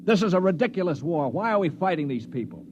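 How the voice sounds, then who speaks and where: A man's voice: sits high, expressive, fast. Principled, weary man, noisy old radio. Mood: earnest, indignant. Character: principled, weary injured man, defender of alien enemies. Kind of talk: 1950s American radio drama acting. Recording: muffled, noisy 1950s radio recording.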